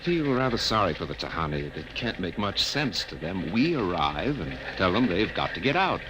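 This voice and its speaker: A man's voice: low voice, big pitch swings, moderate pace. An actor playing a mild, scholarly male scientist with a troubled conscience, hesitant and earnest, in old-time radio drama. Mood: hesitant, sympathetic. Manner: hesitant, earnest, gently protesting. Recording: dull, noisy old radio recording.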